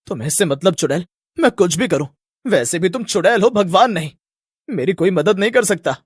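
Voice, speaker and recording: Man playing a brash, animated poor village lad. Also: sits high, moderate pace, no room sound, studio quality, heavily acted. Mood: angry. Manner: dramatic, complaining, blunt. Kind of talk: dramatised storybook tale.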